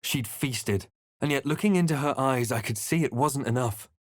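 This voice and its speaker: A man playing a brooding fantasy adventurer in a game, recounting his journey in a measured, solemn, dramatic way. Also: medium pitch, no room sound, studio quality, lightly acted. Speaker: player character voice actor.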